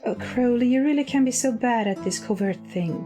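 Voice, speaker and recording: A woman's voice: fairly high pitch, a little fast, warm tone, voice pushed up, lightly acted. A woman voicing a prim, dry male angel in an audio story, genteel and a little impatient, with guitar music behind. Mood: curious, chiding.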